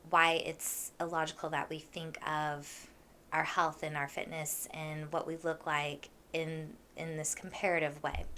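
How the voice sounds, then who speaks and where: A young woman hosting a casual podcast, chatting with a froggy, hoarse voice from allergies. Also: low voice, bright, forceful tone, a little hiss or hum. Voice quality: froggy, husky voice. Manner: chatty, with many fillers and laughs. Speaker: podcast host. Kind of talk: casual solo podcast chat.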